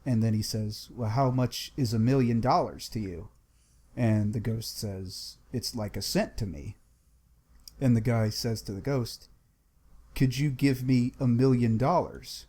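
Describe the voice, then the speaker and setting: A man with a husky, slightly rough voice at an average pitch chats as a panelist. He retells things in a plain, conversational way. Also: fairly even intonation, a little slow, mellow. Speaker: book club panelist. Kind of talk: casual retelling.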